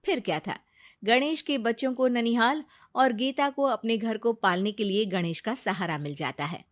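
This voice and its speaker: A woman narrates a scripted cartoon story. Her voice is somewhat high, and she delivers the tale as a storybook narrator. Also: moderate pace.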